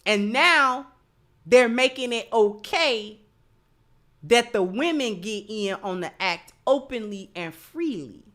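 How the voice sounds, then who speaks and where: A woman's voice: fairly high pitch, expressive, slow, a bit bright. An American woman hosting opinionated live commentary, speaking emphatically and repeating phrases for stress. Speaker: host of a commentary show.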